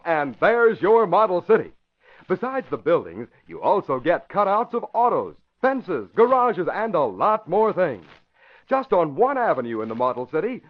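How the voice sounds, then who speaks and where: A man announcing in 1940s radio style, with emphatic, polished scripted delivery. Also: high-pitched. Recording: muffled vintage radio broadcast.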